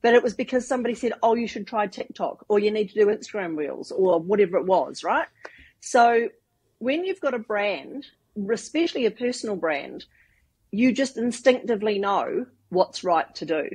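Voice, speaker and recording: A woman's voice: medium pitch, animated, moderate pace, darkish tone, breathy edge, low-quality recording. A woman in her fifties from New Zealand, with a New Zealand accent, chatting as a podcast guest and explaining things with long winding examples. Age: 50s. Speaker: podcast guest, branding expert. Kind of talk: casual interview talk.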